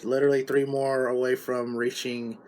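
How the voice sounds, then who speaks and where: A man's voice: medium pitch, level tone, moderate pace, darkish tone. A man chatting casually as he hosts a hobby video about his radio gear. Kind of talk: casual hobby vlog chat.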